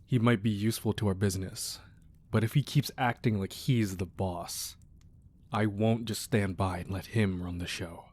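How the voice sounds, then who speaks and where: A man playing a weary, menacing crime boss with a tender side, in a controlled, deliberate ASMR roleplay delivery. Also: fairly low pitch, fairly even intonation, darkish tone, lightly acted. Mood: cold, irritated. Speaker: audio roleplay voice actor. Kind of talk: ASMR roleplay acting, close and dramatic.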